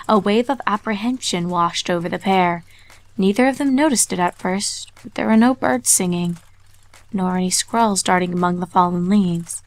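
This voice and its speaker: A woman narrates a dark fan story at a mid pitch. She reads in a measured way and drops to a hush for the eerie parts. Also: no room sound. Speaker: reader.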